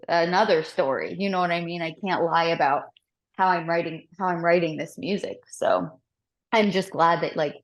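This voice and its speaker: A woman's voice: lowish voice, moderate pace. A woman singer from Florida, a podcast guest, chatting casually and animatedly, with frequent laughs and lots of "like.".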